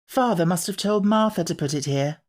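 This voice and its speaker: A man's voice: sits high, brisk, natural voice. A man voicing a genteel mother in an audiobook, proper and kindly but firm and anxious. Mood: curious. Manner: proper, questioning, motherly authority. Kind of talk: audiobook character acting.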